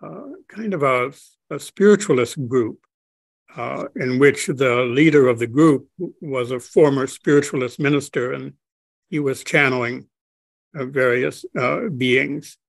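An older man speaking slowly and haltingly, with many pauses and restarts, as an interview guest on a video call from his home study. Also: medium pitch, creaky, dry recording. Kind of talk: reflective conversation.

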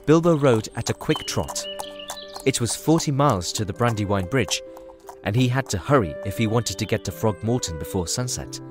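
A man's voice: fairly low pitch, lively intonation, moderate pace, over music. A man narrating a scripted fantasy story as a storybook narrator.

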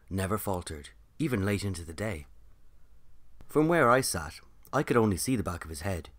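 Deep-voiced man narrating a story podcast. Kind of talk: scripted narration.

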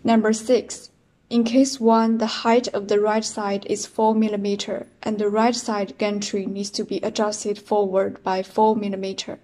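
Calm woman reading machine setup steps. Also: mid-range voice, a little slow. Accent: Chinese. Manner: plain, methodical step counting. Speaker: product demonstrator. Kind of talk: step-by-step instructional voice-over.

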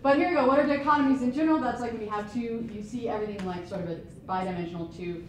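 A young woman giving an energetic, laughing conference talk, moving around the stage as she speaks. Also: on the high side. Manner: fast-talking, laughing, moves around the stage. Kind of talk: energetic presentation. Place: conference room with projector screen. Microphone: handheld mic. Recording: echoey room, camera from far off, noisy.

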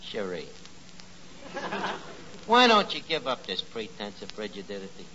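An actor playing a pretentious, dim, lovestruck tavern manager in 1940s radio comedy, mangling highfalutin phrases. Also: male voice, huge pitch swings, a little slow. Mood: wooing. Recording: muffled 1940s radio recording with hiss.

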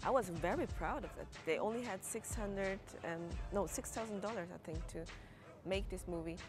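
A young woman with a Swiss German accent answers questions in halting English, pausing to find her words. She speaks at a middle pitch. Music plays clearly underneath her. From Switzerland. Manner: hesitant, searching for English words. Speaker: guest, actress and comedian. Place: outdoor terrace.

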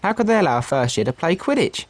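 A man's voice: fairly high pitch, very animated, quick pace, faint music behind. A male performer voicing a jealous, scornful schoolboy bully in a video game.

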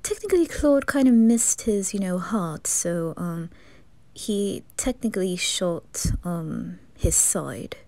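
A composed demon butler, male, played by a woman, in an ASMR fan roleplay. The voice is fairly low and slow, soft and reassuring, with halting phrasing. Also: animated, dry recording.

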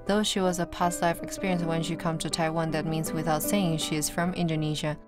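A woman reading an English voice-over translation for a documentary report, in an even, reading-style delivery. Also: sits low, fairly quick. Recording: voice-over with loud background music.

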